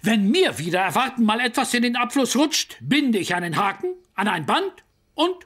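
Husky, pompous cartoon fire chief. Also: male voice, very high voice, sing-song, normal speed, a bit bright, full character voice. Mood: stern. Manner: pompous, reproving. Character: stern, pompous older male fire chief. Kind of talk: animated children's cartoon dub.

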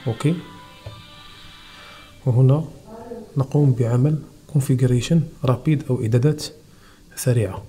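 A man's voice: medium pitch, normal speed, long pauses, dark tone, soft background music. A Moroccan man with a Moroccan Arabic accent, hosting a technical tutorial and explaining each step of a device setup. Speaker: channel host.

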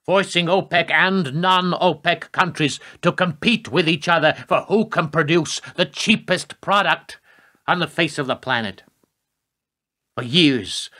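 An actor in a radio play voicing a ruthless, emphatic political adviser pushing for war. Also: male voice, on the high side, bright, forceful tone, full character voice. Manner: rhetorical, emphatic speechifying, curt replies. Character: ruthless, forceful male American presidential adviser pushing for war.

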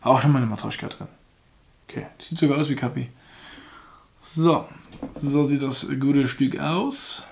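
A man's voice: medium pitch, measured pace, gravelly, long pauses. A young man giving casual, frank commentary as he unboxes a product and reviews it, speaking German with a slight foreign lilt and joking about himself. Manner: chatty, frank, laughs at himself.

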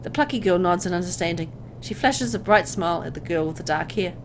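A woman reading a visual novel aloud as dramatic scripted narration, with an expressive storytelling delivery. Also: lowish voice, brisk, slight background noise, poor audio.

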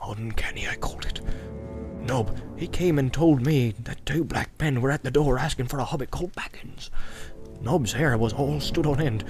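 A man's voice: mid-range voice, moderate pace, lightly acted. A man voicing a good-hearted, flustered rustic innkeeper in rambling, stammering, folksy speech for an audiobook. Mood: hushed, fearful. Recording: music behind the voice.

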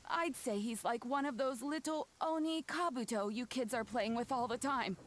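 An actress voicing a kindly, proud old granny in a video game, with a gentle, measured, reassuring manner. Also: female voice, a little high, lightly acted. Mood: wry, fond. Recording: game music behind.

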